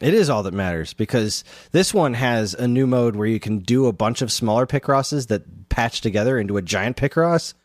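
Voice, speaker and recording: Animated man co-hosting a podcast. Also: medium pitch, normal speed, hardly any pauses, dry recording, clean studio sound. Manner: enthusiastic, animated. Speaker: podcast co-host. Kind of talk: casual chat about video games.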